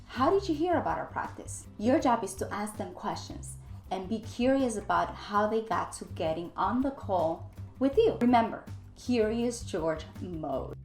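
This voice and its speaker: A woman's voice: mid-range voice, lively intonation, measured pace, darkish tone, soft background music. Woman coaching in an echoey room. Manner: emphatic, repeats key phrases for stress. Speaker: dental practice coach and trainer. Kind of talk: instructional coaching talk to camera.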